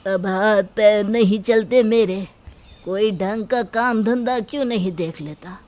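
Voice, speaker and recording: A woman voicing a weary, reproachful elderly father in an animated story. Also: medium pitch, takes it slowly, lightly acted.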